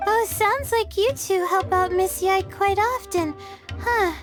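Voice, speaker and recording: A woman voicing a sleepy, worn-out young female student in a video game, tired and a little short of breath, talking softly and earnestly. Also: extremely high pitch, takes it slowly, faint music behind. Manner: soft, drowsy, earnest.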